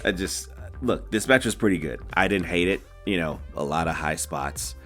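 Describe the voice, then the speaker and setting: A man's voice: low voice, normal speed, a bit bright, soft background music. A man co-hosting a casual wrestling chat, talking in laid-back, drawling banter. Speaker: co-host.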